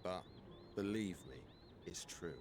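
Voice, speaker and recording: A calm, slow-spoken scholar. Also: male voice, low-pitched, background noise. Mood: patient. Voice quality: measured, explanatory. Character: calm scholarly man explaining flowers. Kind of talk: voiced game cutscene.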